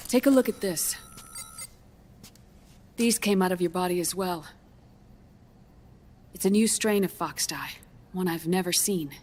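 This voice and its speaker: Low-voiced, weary woman scientist played by an actress. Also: darkish tone, slight background noise, close to an everyday voice. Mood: grave, clinical. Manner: measured, grave, clinical explaining. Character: weary, guarded woman scientist, somber and trapped. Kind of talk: video game cutscene acting.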